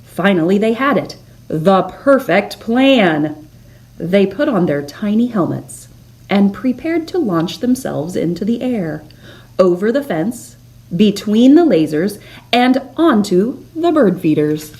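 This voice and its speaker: A woman narrates a children's story as a read-aloud host. Her delivery is slow and deliberate, and her pitch moves widely as she leans on key words for drama. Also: very animated, darkish tone, rough recording. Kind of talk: expressive children's picture book narration.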